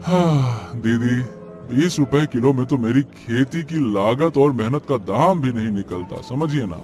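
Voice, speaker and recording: A man's voice: medium pitch, animated, a little slow, darkish tone, close to an everyday voice. Man playing humble, pleading small trader. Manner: polite, coaxing, pleading. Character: earnest humble male vegetable seller and eatery cook, pleading. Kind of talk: Hindi cartoon story voice acting. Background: background music.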